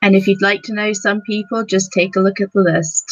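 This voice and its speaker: A woman's voice: mid-range voice, steady tone, a little fast. A woman chatting casually as a podcast panelist, heard through somewhat dull, lo-fi sound.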